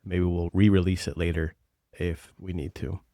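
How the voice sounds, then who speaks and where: A man's voice: low-pitched, level tone, normal speed, mellow, no echo, clean studio sound. A man co-hosting a podcast as a coach, talking in a blunt, teasing, earnest way. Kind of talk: casual podcast chat.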